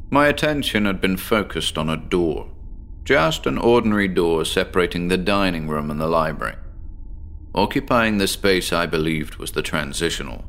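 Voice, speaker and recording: An eccentric male professor, sleepless and obsessive, in a horror audio drama. The voice sits low. He confides his monologue with measured, articulate formality, choosing each phrase deliberately. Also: fairly bright tone, heavily acted. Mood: intrigued, confiding.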